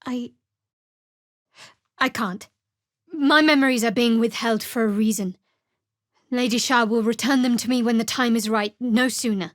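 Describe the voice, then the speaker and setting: Fantasy game role: woman to play young female cleric of a dark goddess, sardonic and guarded, higher register, measured, very dry close recording. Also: no room sound, lightly acted. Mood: devout, solemn. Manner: cool, wry, measured delivery. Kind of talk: fantasy video game voice acting.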